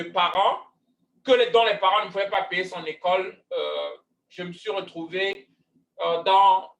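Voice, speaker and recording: A man's voice: high-pitched, fairly bright tone, echoey room, far from the mic, a little hiss or hum, poor audio. A man from Cameroon, speaking as a guest coach in an online talk, hesitant with many fillers and restarts. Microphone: video call.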